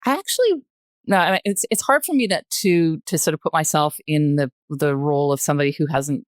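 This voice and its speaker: A woman's voice: deep voice, animated, no echo, studio quality. An older woman in a panel conversation, speaking emphatically and often repeating phrases to make her point. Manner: emphatic, halting repetition of phrases. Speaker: podcast guest, retired journalist.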